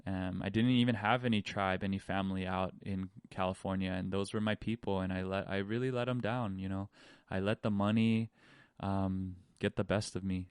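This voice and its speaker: A man, low and smooth in tone. He muses candidly on his own podcast, circling back and restarting his thoughts as he goes. The sound is close and dry, with no room around it. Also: fairly even intonation, moderate pace, dry recording. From Hawaii. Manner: candid, rambling, with many restarts and fillers. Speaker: podcast host. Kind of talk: reflective personal monologue.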